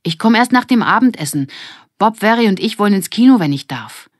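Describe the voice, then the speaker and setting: A woman voicing a quick-thinking young girl in a children's audiobook, brisk and spirited. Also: mid-range voice, animated, no room sound, studio quality, voice pushed up, natural voice. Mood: casual fibbing. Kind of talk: audiobook character dialogue.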